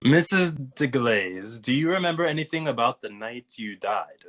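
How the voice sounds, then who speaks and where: An earnest young male detective, acted by a man in a cartoon mystery, speaking at a middle pitch. He is cautious, methodical and formally polite. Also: expressive, fairly bright tone, natural voice. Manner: formal, measured. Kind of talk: animated comedy table read.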